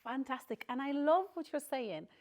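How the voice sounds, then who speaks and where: A woman journalist interviewing a guest, asking questions in a warm, encouraging and affirming way. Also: sits high, animated, moderate pace.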